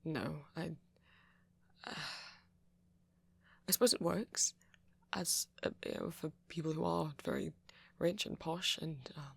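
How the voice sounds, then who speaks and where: A man playing a shy, apologetic young vampire in an ASMR roleplay, with a posh British accent and a hesitant, stammering, soft-spoken way of talking. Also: on the high side, a little slow, creaky, breathy edge, no room sound, full character voice. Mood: uneasy, reticent. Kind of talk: intimate ASMR roleplay audio.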